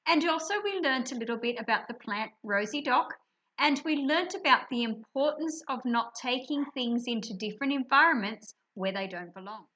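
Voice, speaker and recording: Woman, high bright voice, expressive teacher, explanatory children's lesson, stressed key words. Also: normal speed. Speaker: teacher presenting a reading lesson.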